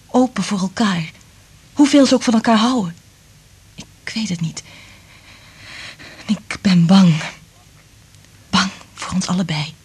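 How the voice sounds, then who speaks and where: An actress playing a sensitive, anxious woman in love in a radio play, speaking in a hushed, intimate, half-whispered voice. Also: medium pitch, breathy edge. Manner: hushed, intimate, careful phrasing. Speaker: radio drama actor. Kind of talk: Dutch radio play acting.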